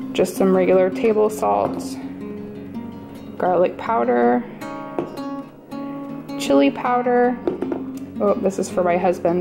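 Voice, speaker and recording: A woman casually narrating a grocery haul, listing items with quick asides. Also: medium pitch. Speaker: vlogger showing a grocery haul. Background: guitar music.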